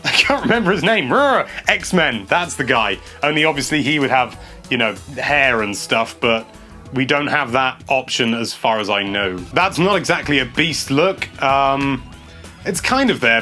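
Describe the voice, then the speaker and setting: Excitable young man commenting gameplay. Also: medium pitch, normal speed, faint music behind. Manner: excitable and chatty, stumbles over words, laughs often. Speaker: games journalist host. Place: small indoor room.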